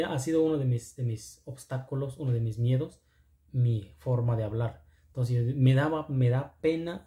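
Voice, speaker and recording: A man from Oaxaca whose first language is Zapotec speaks with a Mexican second-language accent. His voice is very soft-edged and dark, at a middling pitch. He talks casually and earnestly as a motivational vlogger, halting and repeating himself, in an echoey space. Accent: Mexican, second-language Spanish with Zapotec influence. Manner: halting, repetitive, earnest phrasing. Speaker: video channel host. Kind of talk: casual motivational vlog talk.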